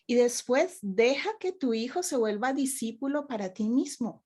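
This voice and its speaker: A woman's voice: on the high side, animated, normal speed, darkish tone, breathy edge. A woman raised in Colombia, a youth minister, giving earnest advice and telling personal stories in a panel discussion.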